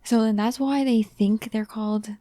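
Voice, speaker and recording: A young woman co-hosting a casual podcast chat, talking softly and often dropping to a near whisper. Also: a little high, fairly even intonation, moderate pace, dry recording, clean studio sound. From family of Chinese ancestry raised in India. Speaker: podcast co-host.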